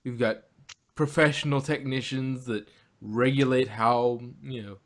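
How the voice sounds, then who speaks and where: A man's voice: mid-range voice, fairly even intonation, measured pace. Mid-voiced man, rambling casually. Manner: rambling, thinking aloud. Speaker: podcast host reviewing audio dramas. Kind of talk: casual group discussion.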